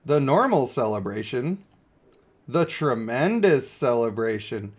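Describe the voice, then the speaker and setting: A man's voice: medium pitch, big pitch swings, measured pace. A man giving casual, opinionated livestream commentary on a mobile game, blunt and given to exasperated ranting. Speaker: game streamer.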